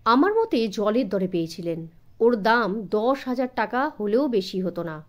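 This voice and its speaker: A man's voice: sits high, sing-song, a little slow, fairly bright tone, exaggerated voice. A woman voicing a courteous, helpful male museum assistant who explains things politely and mixes in English phrases. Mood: amused, informative.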